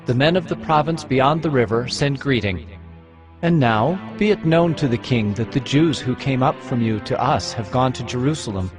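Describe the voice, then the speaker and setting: Male actor as ancient provincial official, formal and accusing, mid-pitched, scripture drama with prominent music. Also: close to an everyday voice. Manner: formal, declamatory. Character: accusing provincial official writing to his king. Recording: music behind the voice.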